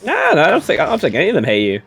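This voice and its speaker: A man's voice: high-pitched, wildly swooping pitch, very fast, creaky, a bit bright, husky, faint music behind. A man co-commentating a strategy game with a friend, teasing him with dry jokes and frequent laughter. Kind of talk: casual gameplay commentary chat.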